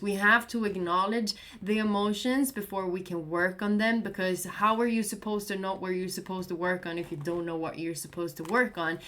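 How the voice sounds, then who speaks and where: A woman's voice: mid-range voice, pushed and bright. A woman with a Swedish accent, Swedish being her first language, talking casually and earnestly to camera as a trading vlogger, encouraging and repeating herself. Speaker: crypto trading YouTuber. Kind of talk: casual recommendation talk to camera.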